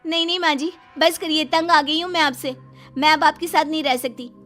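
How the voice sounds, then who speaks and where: Woman as high-pitched, exasperated young daughter-in-law. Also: steady tone, brisk, fairly bright tone, faint music behind. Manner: plaintive, complaining.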